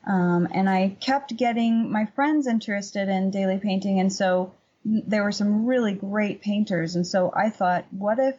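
A woman with a husky voice giving casual, conversational answers as an interview guest. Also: medium pitch, moderate pace, a little mellow, dull audio. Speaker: podcast guest, painter and workshop teacher.

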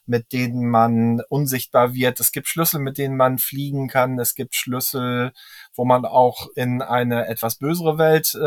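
A man with a medium-pitched voice chats casually on a podcast in a very dry recording. He speaks haltingly, searching for his words. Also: no room sound. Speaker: podcast guest, audio drama enthusiast. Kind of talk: casual chat.

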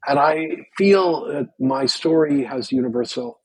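An older man from Canada, whose first language was Yiddish, telling stories in a casual podcast chat with lively restarts. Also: fairly high pitch, a little slow, breathy edge, no echo, poor audio. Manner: animated storyteller with frequent restarts. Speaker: guest.

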